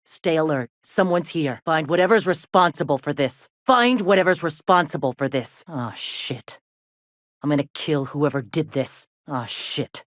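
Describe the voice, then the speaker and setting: An actress playing a tough, gruff female rebel leader in a video game, with a blunt, salty, commanding manner. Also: sits low, lively intonation, unhurried, no room sound, studio quality. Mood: grim, wary.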